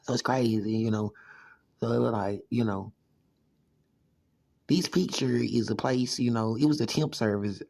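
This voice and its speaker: A man in his thirties talking in a rambling personal monologue, filling every pause with "you know.". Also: on the low side, creaky, mellow, husky, dry recording, studio quality. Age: 30s.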